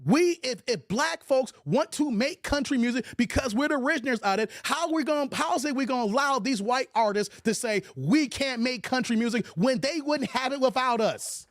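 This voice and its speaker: A man with an American accent hosts his own commentary stream in a high-pitched voice. He makes his case emphatically, repeating points and throwing out rhetorical questions. The sound is dry and close, with no room echo. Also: animated, normal speed, few pauses. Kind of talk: opinionated livestream commentary on a film.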